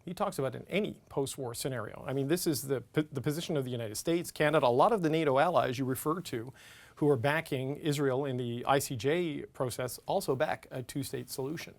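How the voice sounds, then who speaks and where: News host man, mid-pitch, presses hard on questions with frequent false starts. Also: brisk. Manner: pressing questions, stumbling restarts. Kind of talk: political interview.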